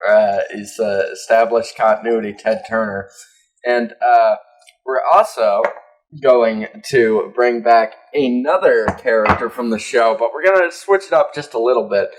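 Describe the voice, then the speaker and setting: A man's voice: medium pitch, unhurried, poor audio. Rambling young man co-hosting a podcast. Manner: rambling, crude, frequent fillers and laughs. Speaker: podcast co-host. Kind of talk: casual comedic chat.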